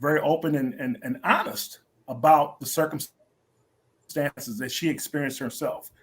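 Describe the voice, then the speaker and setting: A middle-aged man speaks as a guest in an interview, with a voice a little higher than most men's. He is polite and earnest, and his phrases come out in halting pieces. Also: steady tone. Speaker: stage actor, interview guest. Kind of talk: interview answer.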